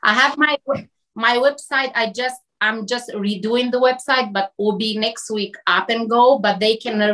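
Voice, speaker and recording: A woman's voice: a little high, fairly bright tone, poor audio. A woman from Albania with an Albanian accent, an interview guest and coach giving earnest motivational talk in short emphatic phrases.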